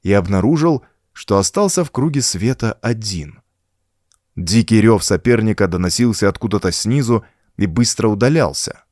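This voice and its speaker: A man narrating a horror story as an audiobook, in an expressive, suspenseful storytelling manner. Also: fairly low pitch, moderate pace, dry recording, studio quality.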